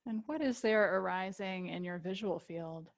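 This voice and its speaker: A woman teaching yoga nidra, guiding a relaxation meditation with gentle invitations and long pauses. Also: medium pitch, creaky.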